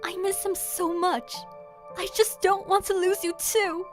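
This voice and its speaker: Woman acting a young headstrong vigilante girl, high voice, earnest, audio drama w faint music. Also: level tone, measured pace. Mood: pleading, defiant.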